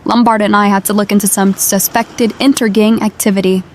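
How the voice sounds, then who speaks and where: A woman playing a brisk, capable newspaper reporter in an audio drama, with a dry, matter-of-fact manner. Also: mid-range voice, steady tone, natural voice. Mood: apologetic, brisk.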